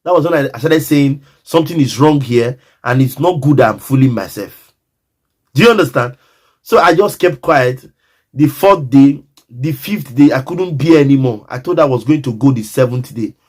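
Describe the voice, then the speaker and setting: A man's voice: medium pitch, normal speed, a little mellow. A Nigerian man telling a personal story in a casual monologue, emphatic and repetitive, often speaking straight to the listener.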